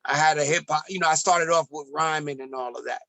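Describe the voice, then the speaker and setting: Guy from the us raised in ethiopia, bright voice, kinda high, talks in a rhythm, dry close mic. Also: creaky, no echo. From United States, raised in Ethiopia. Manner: measured, rhythmic, with restarts. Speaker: interview guest, poet and musician. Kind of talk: reflective conversational interview.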